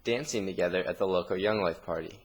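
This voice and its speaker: A man reading a scripted story narration with dramatic pauses, in a noisy amateur recording. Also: low-pitched.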